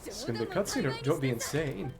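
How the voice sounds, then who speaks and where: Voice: medium pitch, lively intonation, fast, creaky, a crowd in the background. A game streamer with a wry manner, reading dialogue aloud and reacting with quips over video game music.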